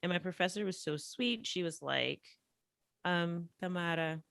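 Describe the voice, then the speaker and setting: A woman from the United States, a guest on a podcast, telling stories in an animated, chatty way with many fillers. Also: lowish voice, a little slow, creaky, no room sound, studio quality. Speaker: podcast guest, language teacher. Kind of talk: casual interview storytelling.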